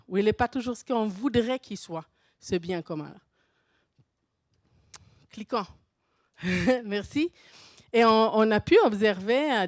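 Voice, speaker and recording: A woman's voice: mid-range voice, dry recording, clean studio sound. A middle-aged university professor, a woman speaking French with a foreign accent as a non-native speaker, giving a conference presentation and thinking aloud with restarts and light laughs. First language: not French.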